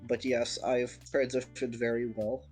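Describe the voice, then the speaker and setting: A man's voice: medium pitch, level tone, measured pace, a little mellow, soft background music, poor audio. Congested young man, blunt and swearing. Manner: blunt, swearing, laughing often. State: congested from a sinus infection. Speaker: streamer's guest. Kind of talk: casual chat.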